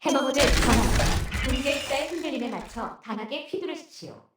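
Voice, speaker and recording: A woman's voice: high-pitched, expressive, a little fast, echoey room, very noisy. A woman voicing an impassive, officious female AI of a delivery machine in a game, giving formal clipped announcements.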